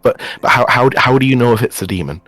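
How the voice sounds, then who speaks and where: A man's voice: medium pitch, animated, fast, fairly bright tone, no echo, soft background music. A man playing a wary, sceptical questioner in an improvised anime game roleplay, stammering and repeating words as he presses. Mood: doubtful, pressing. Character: wary, sceptical male questioner distrustful of demons.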